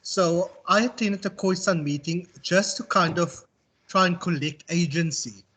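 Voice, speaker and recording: A South African man speaking as a university tutor in an informal online class, reflective and halting as he circles back to rephrase. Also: sits high, sharp tone. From Western Cape, South Africa. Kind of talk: informal online lecture and discussion.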